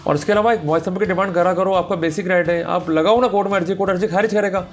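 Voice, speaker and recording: An Indian man with a slightly high-pitched voice delivers a legal lecture. He addresses his listeners directly and drives points home with emphatic rhetorical questions. Music plays behind his voice. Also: steady tone, racing. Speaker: law lecturer. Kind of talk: explanatory legal lecture mixing Hindi and English.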